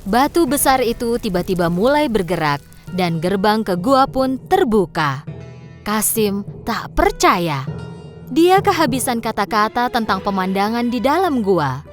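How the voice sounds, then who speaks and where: Female narrator for children's stories, high and lively, faint music underneath. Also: measured pace. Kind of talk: scripted children's storybook narration. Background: background music.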